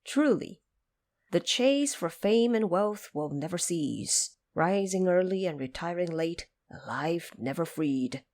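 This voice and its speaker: Female narrator for an audio drama: fairly low register, very animated, dramatic storybook delivery. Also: slow pace.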